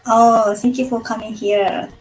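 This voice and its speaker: A woman hosting an interview over a video call, asking her questions slowly, carefully and politely. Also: a little high, steady tone, soft background music, low-quality recording. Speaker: interview host. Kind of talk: casual interview chat.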